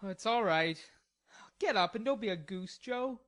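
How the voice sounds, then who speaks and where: Indignant, defiant young gentleman in a storybook, put on by a woman; higher and tenser than her own voice. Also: normal speed, natural voice. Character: hot-tempered proud young gentleman.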